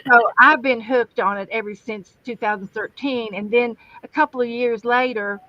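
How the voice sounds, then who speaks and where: A chatty woman with a West Virginian accent, rambling through her stories as a livestream guest. Her voice sits a bit high. The sound is dry and dull, with no room echo and a flat top end. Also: normal speed. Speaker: guest crafter and streamer. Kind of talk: casual livestream interview chat. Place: home craft room. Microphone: livestream camera setup.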